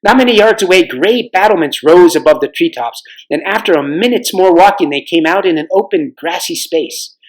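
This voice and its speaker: Lively man reading a storybook aloud. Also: sits high, moderate pace, few pauses, low-quality recording. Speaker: reader. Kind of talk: storybook read-aloud with acted dialogue.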